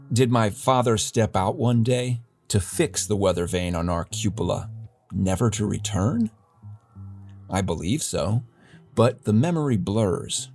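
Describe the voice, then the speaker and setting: Man playing a slow, theatrical radio newsman over music. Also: lowish voice. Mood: wistful, salesman-like. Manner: florid, arch, deadpan delivery. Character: pompous, theatrical male radio host of a dystopian city. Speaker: radio host. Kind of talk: scripted satirical radio newscast.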